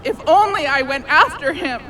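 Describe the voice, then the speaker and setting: A woman's voice: high-pitched, expressive, normal speed, sharp tone, a faint crowd, a little hiss or hum, poor audio. An actress in an audio drama playing a remorseful, caring young woman who talks earnestly and plainly, with a wry streak.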